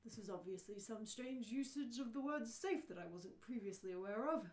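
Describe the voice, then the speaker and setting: A bewildered, sarcastic everyman from a radio play, played by a woman, high in pitch and very animated. The delivery is incredulous and exasperated, in an echoey room. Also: moderate pace, lightly acted. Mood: dazed, sarcastic. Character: bewildered, exasperated, sarcastic ordinary Englishman swept into space. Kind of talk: radio play read-through. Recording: roomy, echoing room sound.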